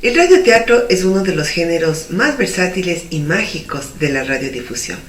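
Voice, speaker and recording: A woman's voice: fairly low pitch, sing-song, normal speed, soft background music. A woman giving a methodical, didactic explanation in an instructional style. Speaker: radio drama producer giving a tutorial. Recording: roomy, distant mic, faint noise.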